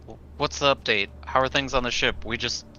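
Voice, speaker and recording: A man's voice: mid-range voice, steady tone, brisk, sharp tone. A man playing a tabletop roleplaying game in a casual, hesitant, stammering way, half in character. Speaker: tabletop player, playing the captain. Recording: dull lo-fi sound, faint noise.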